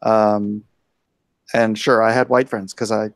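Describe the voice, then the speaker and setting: A man's voice: fairly low pitch, flat delivery, takes it slowly, no room sound, studio quality. A man in his forties, born in Hawaii and raised in California, talking casually in a blunt, opinionated way. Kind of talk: casual chat.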